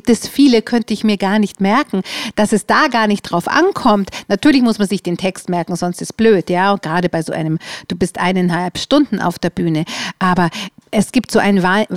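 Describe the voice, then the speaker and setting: A woman with a Bavarian accent chats as an interviewer in a mid-pitched voice. She muses and rephrases as she goes, recorded dry with no room sound. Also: dry recording. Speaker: interviewer, host. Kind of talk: casual conversational interview.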